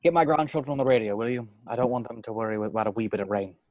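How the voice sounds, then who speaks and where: A man's voice: mid-range voice, very fast, a little mellow, close to an everyday voice. A man acting a grumbling grandfather who runs a park, in a script table read. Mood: grumbling, commanding.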